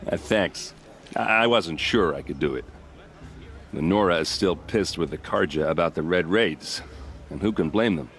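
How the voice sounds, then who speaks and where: A deep male voice for a cocky elite soldier in a video game. He teases easily and flirts with wry confidence. Faint outdoor ambience sits behind him. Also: moderate pace, a bit bright, slight background noise. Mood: friendly, candid. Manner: easygoing, teasing, wry. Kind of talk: video game cutscene acting.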